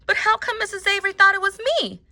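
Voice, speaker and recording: Storybook schoolgirl, played by a woman narrator: innocent, earnest, high-pitched and lively. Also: fast talker, full character voice. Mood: surprised. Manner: earnest, protesting and questioning. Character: young schoolgirl, Nick's innocent classmate.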